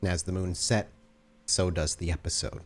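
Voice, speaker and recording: A man's voice: sits low, steady tone, moderate pace. A man narrating a tabletop roleplay show as its storyteller and game master.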